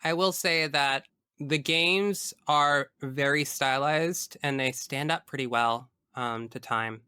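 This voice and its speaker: A young woman speaks as a guest in a casual interview, with an emphatic, enthusiastic way of putting things. Her voice is bright and smooth, captured close and dry with hardly any room sound. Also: low voice, slow pace, no room sound. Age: young adult. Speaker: podcast guest. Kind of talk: casual interview chat about video games.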